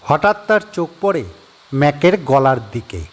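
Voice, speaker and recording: A man narrating a horror audio story with expressive, suspenseful storytelling. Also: a little high, a little slow. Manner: expressive storytelling with rising and falling tension.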